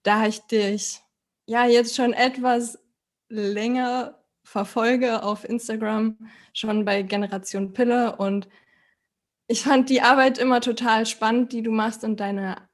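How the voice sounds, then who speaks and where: A woman in her thirties chats as a guest on a podcast, her voice sitting a bit high. She talks unhurriedly and hesitantly, trailing off and restarting as she looks for the right word. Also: steady tone, slow. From Berlin, Germany. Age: 30s. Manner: hesitant, searching for words with many fillers. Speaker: podcast guest asking questions. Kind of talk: casual podcast conversation.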